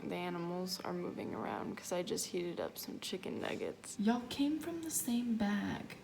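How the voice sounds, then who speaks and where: A woman's voice: fairly low pitch, sing-song, moderate pace, gravelly, darkish tone, slight background noise. A tired, sleep-deprived woman vlogging casually to her viewers, informal and rambling with a self-mocking manner. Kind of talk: casual reading vlog, talking to viewers.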